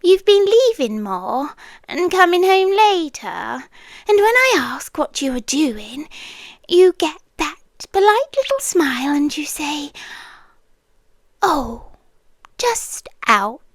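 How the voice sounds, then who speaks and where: A British woman playing a possessive, doting and controlling yandere landlady in an intimate ASMR roleplay, breathy and coaxing, often dropping to a whisper. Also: high voice, big pitch swings, slow, no echo, full character voice. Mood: jealous, menacing. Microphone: close ASMR microphone, dry.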